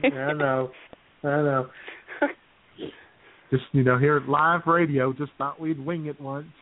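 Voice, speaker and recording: A man with a husky voice co-hosting an internet radio show in casual, joking chat. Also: fairly high pitch, flat delivery, measured pace. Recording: narrow phone-like radio sound.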